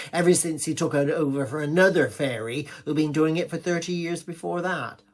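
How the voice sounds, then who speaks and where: A man with an English accent performing a gossipy comic monologue, rambling along in an indignant village-gossip manner. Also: a little high.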